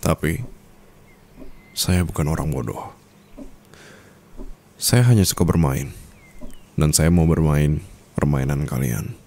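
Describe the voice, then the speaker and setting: A man's voice: vocal fry, mellow, a little hiss or hum, exaggerated voice. A man playing a calculating, coolly dominant mafia boss husband in an intimate ASMR roleplay, speaking in a teasing, smug manner. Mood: calm, calculating. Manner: slow, teasing, smug, with low chuckles and hums.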